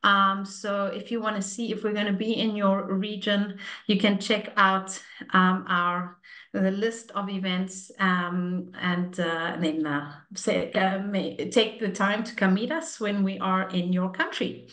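A woman in her thirties hosts an online webinar from a video call. She talks in a relaxed, chatty way, filling pauses with "um" and "uh". Her voice sits at a middling pitch for a woman. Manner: chatty, relaxed, many fillers. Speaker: webinar host, enrollment staff.

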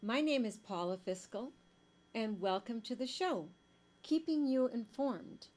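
A middle-aged woman hosting a studio talk show, introducing her guest in a clear, measured way at a desk microphone. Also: a little high, lively intonation, a little mellow. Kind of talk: scripted TV interview intro.

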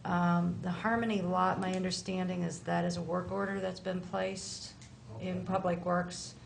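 A woman's voice: fairly low pitch, little pitch movement, normal speed, gravelly, a little hiss or hum. A middle-aged woman town official answering questions at a board meeting in a matter-of-fact way. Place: town hall meeting room.

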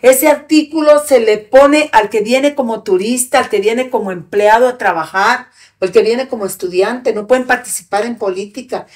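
A woman's voice: fairly high pitch, moderate pace. A woman in her eighties talking as a podcast guest, ranting in a fiery, indignant and profane way, close to a studio microphone. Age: 80s. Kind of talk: heated interview talk. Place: small studio with brick wall and sofa. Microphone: podcast mic on a stand in front.

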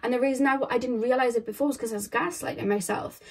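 A young woman giving a casual tarot reading, rambling and repeating herself, her voice a little croaky. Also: a little high, fairly even intonation, quick pace, a little mellow. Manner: chatty and rambling, repeats phrases, many fillers. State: slightly croaky voice. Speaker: tarot card reader. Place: indoors at a table, camera overhead.

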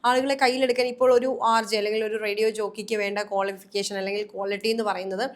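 A woman's voice: medium pitch, flat delivery, fast talker. A woman hosting a conversational news discussion, wordy and often rephrasing her points.

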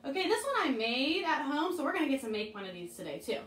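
A young woman with a high voice leads a children's devotional and craft lesson. She speaks brightly and animatedly to the kids, seated on a living room couch. She is recorded a few feet from the camera, so the room echoes around her voice. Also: sing-song, fast. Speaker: children's chapel leader. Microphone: camera a few feet away. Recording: echoey room, mic at a distance.

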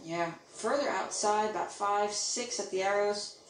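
A woman's voice: medium pitch, unhurried, roomy sound, a crowd in the background, rough recording. A woman giving technical color commentary on a youth bowling match. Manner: technical, explanatory analysis. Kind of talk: sports broadcast analysis.

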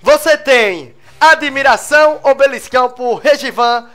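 A man's voice: very high voice, a little slow, a bit bright. Jokey young male talk show host. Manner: teasing, jokey, laughs often. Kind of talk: lively talk show interview. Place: small TV studio, indoors. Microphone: handheld microphone.

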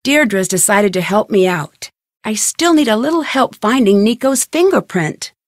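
A woman's voice: medium pitch, lively intonation, a little slow, no echo, clean studio sound, close to an everyday voice. A woman playing an inquisitive, level-headed young detective in an adventure game, speaking in an earnest, conversational, matter-of-fact way.

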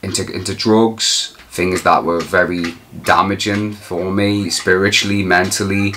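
A young man, a street preacher, reflecting haltingly in an interview. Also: low-pitched, fairly even intonation, background noise. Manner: reflective, hesitant with restarts. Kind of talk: documentary interview.

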